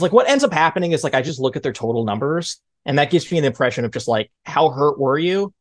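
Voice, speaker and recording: A man in his thirties hosting a sports podcast, chatting casually in an animated way. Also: fairly high pitch, no room sound. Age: 30s. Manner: animated, mimics exclaimed reactions. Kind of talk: casual sports debate chat.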